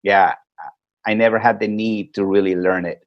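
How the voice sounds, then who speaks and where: A man from Peru, a podcast guest calling in remotely, telling his stories in a reflective, unhurried way. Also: on the low side, fairly even intonation, highs cut off. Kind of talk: casual interview chat.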